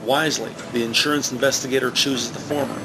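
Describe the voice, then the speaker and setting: A man reading scripted narration for a police drama in a measured, factual manner. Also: medium pitch, steady tone, creaky, background noise, natural voice.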